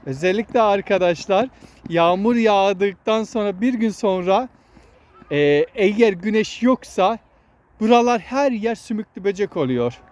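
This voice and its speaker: A woman's voice: medium pitch, measured pace, darkish tone. A woman hosting a casual outdoor vlog, explaining nature to children in an instructive way and often repeating her words. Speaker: vlog host guiding children. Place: outdoors in a wooded area.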